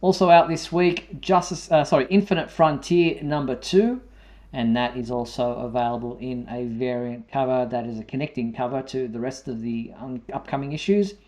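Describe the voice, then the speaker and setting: A man hosting a live fan news show, announcing items in a halting way with frequent fillers. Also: mid-range voice, fairly even intonation, darkish tone. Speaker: show host.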